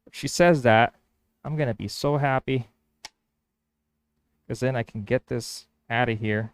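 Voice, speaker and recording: A man's voice: mid-range voice, measured pace, smooth. A man whose first language is Spanish, casually thinking aloud as he works on a woodworking build. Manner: thinking aloud, hesitant restarts. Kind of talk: casual workshop vlog narration.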